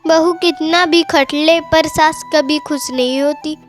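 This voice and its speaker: Cartoon villain: cruel scheming young wife, high voice, contemptuous, voiced by a woman; music bed. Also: steady tone, a little slow. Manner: sneering, contemptuous. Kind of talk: Hindi cartoon voice acting.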